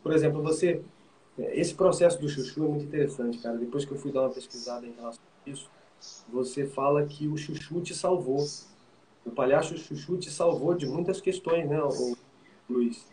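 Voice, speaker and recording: Chatty host in an echoey room. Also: male voice, a little high, brisk, dark tone. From Minas Gerais, Brazil. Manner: conversational, questioning. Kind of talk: live-stream interview. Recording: echoey room with faint noise.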